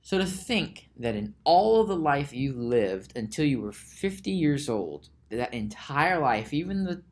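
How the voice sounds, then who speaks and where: A man hosting a solo podcast, musing slowly aloud with frequent restarts and repeated words. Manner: reads search results aloud in a low mutter. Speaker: podcast host.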